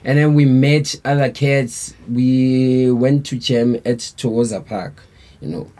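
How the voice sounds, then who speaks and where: A man's voice: mid-range voice, slow, dark tone. A South African man, a band member, telling a long story in a laid-back, rambling way with lots of slang fillers. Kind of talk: casual storytelling interview.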